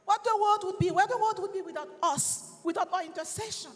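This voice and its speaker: A woman's voice: extremely high pitch, fairly quick. A middle-aged woman with a Haitian accent, earnestly sharing personal reflections as a Bible study panelist. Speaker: Sabbath school panelist. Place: large church stage, indoors. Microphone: handheld microphone. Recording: reverberant church hall sound.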